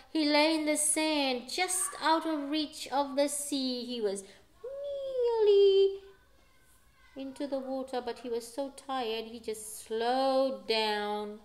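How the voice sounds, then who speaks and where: A woman's voice: sits high, slow pace, low-quality recording. A middle-aged woman reading a picture book aloud to young children at home, with dramatic delivery and explanatory asides. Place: living room at home.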